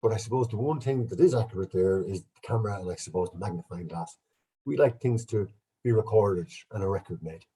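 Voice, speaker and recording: Irish man, fairly low and very dark, husky and congested with a cold, easy chatty lecturing manner over a video call. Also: fairly quick, low-quality recording. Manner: relaxed, chatty, self-deprecating. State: bunged up with a cold. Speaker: guest speaker, archaeologist. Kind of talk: online lecture with slides.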